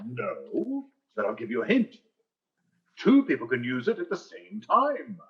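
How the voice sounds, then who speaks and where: An actor playing a flustered, fawning school principal who stammers and blusters, in 1940s radio sitcom style. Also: male voice, mid-range voice, wildly swooping pitch, a little slow, highs cut off, noisy background. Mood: coy.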